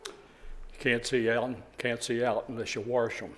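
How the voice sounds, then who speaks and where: An older man speaks at the public mic of a town council meeting in a folksy, rambling way, with a few chuckling asides. His voice sits at an ordinary pitch for a man. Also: flat delivery, unhurried. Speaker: resident giving public comment.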